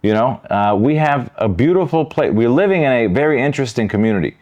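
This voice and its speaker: A man's voice: mid-range voice, expressive, quick pace, darkish tone, highs cut off. A man from Detroit with an American accent, talking conversationally as a podcast host. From Detroit, United States. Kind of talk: casual conversational discussion.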